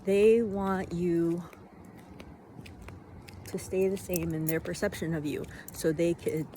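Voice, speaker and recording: A woman giving an unscripted motivational monologue in a reflective way, in halting phrases with deliberate pauses. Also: on the low side, fairly even intonation, dark tone. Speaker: vlogger giving self-help reflections. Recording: noisy, vehicle-like ambient sound.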